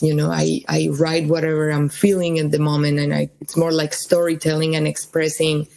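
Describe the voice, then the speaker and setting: A woman's voice: sits low, mellow. A woman from Colombia, a cellist and singer, answering interview questions in a thoughtful way with many fillers and restarts. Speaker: musician guest.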